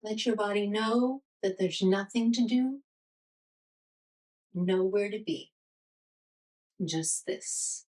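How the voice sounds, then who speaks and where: Slow-paced woman guiding meditation, distant mic. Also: mid-range voice. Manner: soothing, gentle, unhurried guiding. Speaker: yoga and meditation teacher. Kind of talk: guided meditation, restorative yoga instruction.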